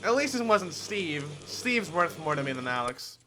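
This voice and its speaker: A man's voice: fairly high pitch, very animated, moderate pace, bright, forceful tone, a little hiss or hum. A young man giving casual reaction commentary as a streamer, joking, swearing and musing aloud.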